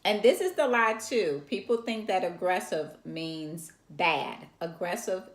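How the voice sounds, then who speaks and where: A woman's voice: fairly low pitch, unhurried. A middle-aged woman co-hosting a casual chat with her daughter, teasing and laughing often. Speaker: co-host, mother of co-host. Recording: echoey room.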